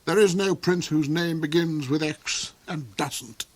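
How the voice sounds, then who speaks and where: A man voicing a cold, cruel duke in a storybook reading, with shrill, theatrical outbursts. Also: a little slow. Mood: brooding.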